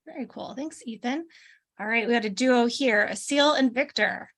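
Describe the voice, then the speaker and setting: High-voiced woman hosting a video call. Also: moderate pace, fairly bright tone. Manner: chatty, many ums and restarts. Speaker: host of an online alumni panel. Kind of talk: casual live-stream hosting.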